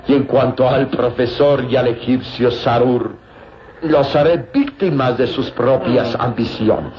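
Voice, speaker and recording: Scheming villain on muffled old radio. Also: male voice, medium pitch, noisy background. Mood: menacing. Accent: Mexican. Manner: menacing, calculating. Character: scheming, cold male villain plotting deadly traps. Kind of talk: vintage Mexican radio serial acting.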